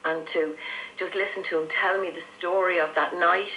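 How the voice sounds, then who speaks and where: A woman's voice: on the low side, steady tone, normal speed, pushed and bright. An Irish woman in her fifties telling her own story conversationally as an interview guest, over shortwave radio. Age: 50s. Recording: noisy shortwave radio reception. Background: faint radio static.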